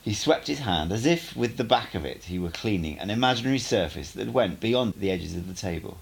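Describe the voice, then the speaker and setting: Gravelly male audiobook narrator. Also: on the low side, low-quality recording. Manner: expressive, measured storytelling. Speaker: audiobook reader.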